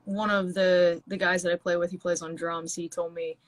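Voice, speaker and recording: A woman's voice: on the low side, flat delivery, normal speed, dry recording. A woman musician, chatting on a video call as an interview guest, talking in loose, rambling sentences with many restarts. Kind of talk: casual interview chat.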